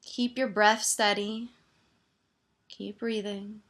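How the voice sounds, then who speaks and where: Slow-paced woman guiding a meditation. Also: medium pitch, little pitch movement. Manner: gentle, soothing instruction with pauses. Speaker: meditation teacher. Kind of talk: guided body scan meditation.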